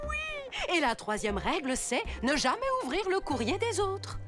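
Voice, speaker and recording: A woman's voice: very high-pitched, huge pitch swings, measured pace, music in the background, full character voice. A woman voicing a spirited, kindly but firm superhero grandmother who delivers mail in a children's cartoon, theatrical and instructive. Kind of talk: animated children's cartoon dub.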